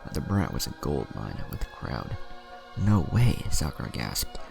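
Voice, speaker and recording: A man with a gravelly voice, narrating fan fiction dramatically as a storyteller. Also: rumbling low, very animated, normal speed, music in the background.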